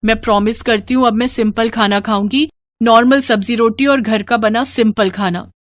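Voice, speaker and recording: A woman's voice: fairly high pitch, steady tone, moderate pace, no room sound, pitched above the performer's own voice, close to an everyday voice. A woman voicing a headstrong young Indian daughter-in-law in a moral story, emphatic and pleading. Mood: apologetic. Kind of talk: dramatised Hindi moral story.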